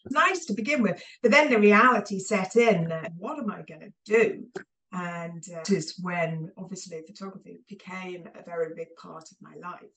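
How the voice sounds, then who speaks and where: A woman's voice: on the low side, normal speed, fairly bright tone, far from the mic, poor audio. A woman in her forties with a southern English accent, chatting casually and telling her story as a podcast guest. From southern England.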